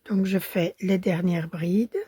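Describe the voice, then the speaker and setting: A woman with a Belgian French accent, hoarse from a cold, calmly teaching a crochet pattern step by step and counting stitches under her breath. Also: fairly low pitch, takes it slowly, no room sound, clean studio sound.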